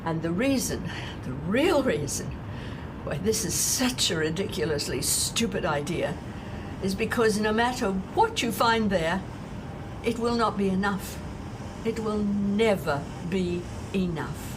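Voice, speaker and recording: A woman playing a bitter, sorrowful woman left on a doomed Earth, in a deliberate, reproachful dramatic monologue. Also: medium pitch, lively intonation, close to an everyday voice. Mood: scornful warning. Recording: clearly noisy recording.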